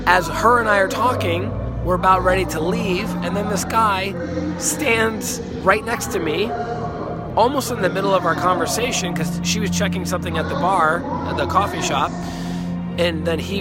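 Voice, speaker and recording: A man, hoarse from a cold, chatting enthusiastically on a live stream and repeating words as he talks. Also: high-pitched. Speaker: life coach and healer. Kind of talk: casual live stream monologue. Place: outdoors in a city. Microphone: phone.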